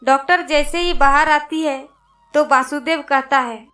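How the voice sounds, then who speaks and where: Narrator: woman, high voice, scripted children's bedtime moral tale, light music bed. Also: fairly even intonation, a little slow, fairly bright tone. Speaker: story narrator.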